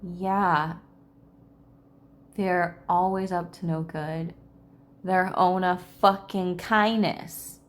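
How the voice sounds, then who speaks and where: A woman's voice: lowish voice, fairly even intonation, extremely slow, long pauses. A woman reading game text aloud as a gaming commentator and breaking into casual reactions. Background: loud game music.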